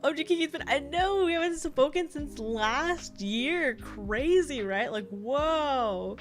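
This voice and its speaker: A woman's voice: high-pitched, expressive, takes it slowly, soft background music. A young woman streaming as a VTuber, chatting casually with viewers; she sounds congested and a little tired, rambling and giggling often. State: tired, low energy. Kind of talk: casual livestream chat.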